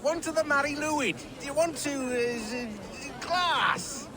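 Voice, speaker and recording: High-pitched, swooping drunken craftsman. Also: expressive, slow pace, crowd noise, noisy background, full character voice. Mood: drunken merry. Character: drunken, bitter male glassmaker, theatrical and boisterous. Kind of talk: video game character dialogue. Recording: music behind the voice.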